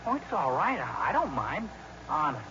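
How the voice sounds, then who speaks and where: An actor playing a shy, awkward teenage boy with a hesitant, halting manner, in 1950s radio drama style. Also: fairly high pitch, huge pitch swings. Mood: awkward, sheepish. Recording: dull, noisy old radio broadcast.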